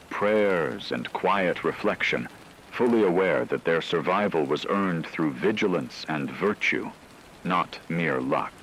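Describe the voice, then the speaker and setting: A man's voice: sits low, a bit bright, slight background noise, heavily acted, poor audio. A man playing a solemn radio newscaster, narrating formally and ominously in a scripted radio drama. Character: solemn male radio newscaster reporting supernatural terror. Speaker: radio news host.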